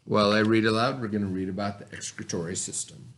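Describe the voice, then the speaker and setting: A man's voice: lowish voice, fairly even intonation, fast talker, fairly bright tone. A man teaching young children in an instructive way, explaining words step by step and checking in as he goes. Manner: instructive, spells and sounds out words, checks with "right?". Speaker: elementary school teacher. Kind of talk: read-aloud lesson for young children, explaining vocabulary.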